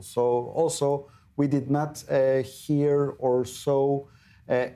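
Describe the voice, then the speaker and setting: Turkish-accented man, dark-toned expert. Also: medium pitch. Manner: earnest, many fillers and restarts. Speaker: expert guest. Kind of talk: studio news interview. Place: TV news studio.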